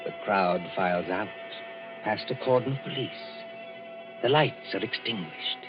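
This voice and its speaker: A man narrates an old radio drama in a measured, ominous way. His voice sits somewhat low. Clear music plays under the dull, muffled broadcast.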